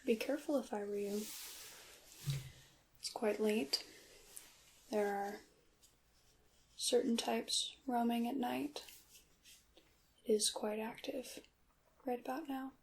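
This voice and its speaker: A woman voicing a mysterious, centuries-old witch shopkeeper in an ASMR roleplay, soft-spoken and breathy, often slipping into whispers, with courteous old-fashioned phrasing and a coy, wry manner. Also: a little high, steady tone, slow pace, pauses often, slight background noise, rough recording. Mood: guarded, coy.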